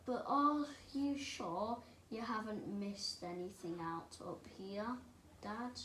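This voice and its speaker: A woman voicing a patient, worried young boy, reading slowly and hesitantly. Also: medium pitch, fairly bright tone. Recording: roomy room with faint background noise.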